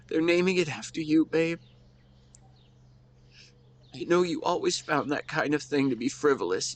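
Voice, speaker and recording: A man playing a grieving, devoted husband who speaks to his late wife in a tender, emotional, halting way, as an intimate audio roleplay monologue. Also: mid-range voice, natural voice. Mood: proud, moved, then gentle teasing.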